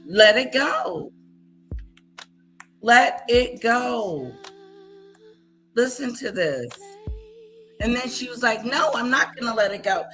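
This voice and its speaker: A woman's voice: on the high side, animated, takes it slowly, pauses often, a bit bright, soft background music. A woman hosting a reaction commentary, scolding and incredulous as she picks apart a clip. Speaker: commentary channel host.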